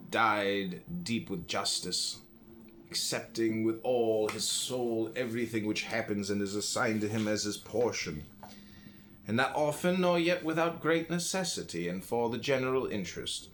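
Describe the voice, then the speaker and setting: A man reading a philosophy book aloud in a solemn, deliberate way, phrase by phrase with pauses. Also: fairly low pitch, a bit bright. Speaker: audiobook reader.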